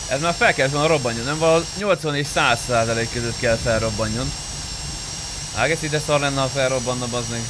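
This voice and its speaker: A man with a mid-pitched voice plays an online roleplay game. He chats casually with other players as a streamer. Vehicle noise runs clearly behind his voice.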